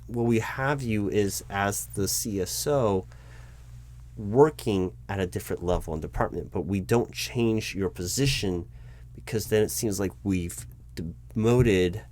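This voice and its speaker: An exasperated recruiting manager played by a man in an office roleplay, speaking in a fairly low voice. He explains things insistently and haltingly, often doubling back to repeat himself. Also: a little mellow. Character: flustered, exasperated male human resources recruiting manager, lecturing his boss. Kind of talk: one-sided improvised audio roleplay, loud spoken.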